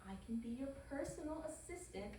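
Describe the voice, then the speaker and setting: A woman playing a sarcastic young college-student niece in an animated comedy table read, in a dry manner. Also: high-pitched, brisk. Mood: eager pleading. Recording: echoey room, distant mic, faint noise.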